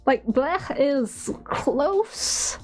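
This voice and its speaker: Tired girl streamer w high voice, rambly n giggly, music playing behind her. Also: expressive, very slow, a little mellow. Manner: rambling, giggly, self-deprecating. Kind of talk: casual livestream chat to viewers. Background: background music.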